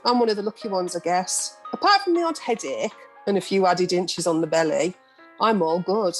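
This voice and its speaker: A woman's voice: on the low side, lively intonation, moderate pace, faint music behind, close to an everyday voice. A woman playing a breezy, bawdy middle-aged journalist in an amateur radio play, recorded over a video call. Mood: sympathetic, frank.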